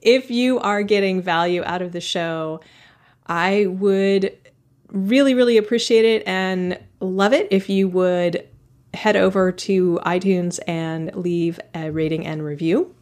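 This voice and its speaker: A woman's voice: fairly low pitch, fairly even intonation, takes it slowly, darkish tone. A woman hosting a podcast, talking in a casual, enthusiastic way and laughing often. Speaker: podcast host.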